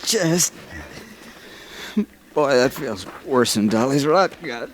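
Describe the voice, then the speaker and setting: A man's voice: medium pitch, animated, takes it slowly, a little hiss or hum. A man playing a plain-spoken, feisty frontier sidekick in an old-time radio western, blunt and folksy. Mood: pained, defiant. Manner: folksy, blunt, clipped questions.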